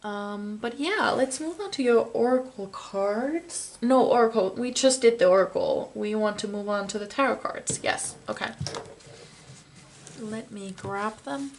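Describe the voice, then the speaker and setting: A woman's voice: on the high side, measured pace, slight background noise. A woman losing her voice, giving a chatty, meandering pick-a-card tarot reading straight to the viewer. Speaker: tarot reader.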